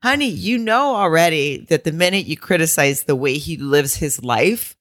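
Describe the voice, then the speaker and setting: Low-voiced joking woman co-host. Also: animated, normal speed, no room sound. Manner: joking, laughs often, rambling asides. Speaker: podcast co-host. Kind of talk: casual recap chat.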